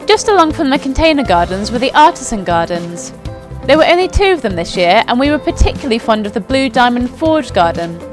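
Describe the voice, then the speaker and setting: An upbeat young woman reads a scripted narration with enthusiasm, her voice sitting a little high. Clear music plays underneath her throughout. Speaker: company presenter. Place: outdoors at a flower show.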